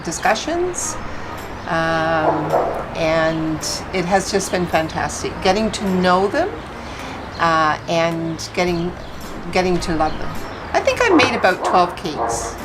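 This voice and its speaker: Newfoundland Canadian woman, interviewee, low-pitched, reflective with fillers, background music. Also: low-quality recording. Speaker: interviewee, volunteer. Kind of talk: casual interview. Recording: music bed behind voice.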